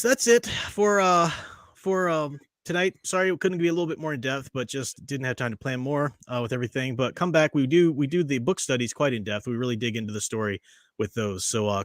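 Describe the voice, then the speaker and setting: A man's voice: medium pitch, no echo. Guy hosting a live show, rambling and jokey, keeps cutting himself off, dry close sound. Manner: rambling, self-interrupting, joking. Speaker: podcast host. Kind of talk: casual live stream chat.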